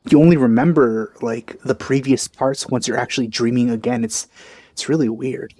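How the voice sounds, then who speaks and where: A man giving casual let's-play commentary, musing aloud in a halting, informal way. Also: medium pitch, dark tone, no echo, clean studio sound. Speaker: gaming video host.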